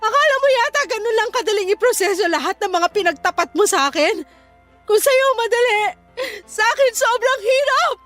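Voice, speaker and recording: A woman's voice: very high-pitched, animated, normal speed, fairly bright tone, faint music behind. An actress with a Filipino accent playing a betrayed, furious young woman in a radio drama, scolding with intense anguish. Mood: anguished, angry. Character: betrayed, furious, heartbroken young woman leaving her cheating boyfriend.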